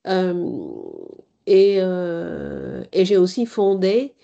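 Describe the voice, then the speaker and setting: A middle-aged woman from Italy, speaking as a guest at a conference with measured, hesitant delivery. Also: fairly low pitch, creaky, warm tone. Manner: measured, hesitant with many pauses. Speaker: board chair and guest speaker. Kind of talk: conference talk. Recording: slightly dull lo-fi sound.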